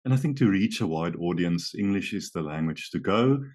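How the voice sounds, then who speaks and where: A man's voice: deep voice, animated, normal speed, a little mellow. A middle-aged man, a native Afrikaans speaker, hosting an interview in a chatty, friendly way. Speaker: interview host. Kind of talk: conversational interview.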